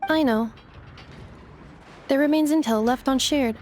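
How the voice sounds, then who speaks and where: A woman's voice: sits high, a little slow, a little mellow, a little hiss or hum. An actress voicing a polite, literal-minded female robot in a video game, with clipped, formal, emotionless phrasing. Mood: neutral, analytical. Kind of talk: video game cutscene voice acting. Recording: music behind the voice.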